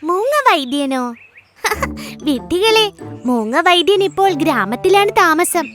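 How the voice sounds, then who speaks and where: Shrill, scornful cartoon parrot. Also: female voice, expressive, slow pace, music in the background, full character voice. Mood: scornful, mocking. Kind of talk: children's cartoon voice acting.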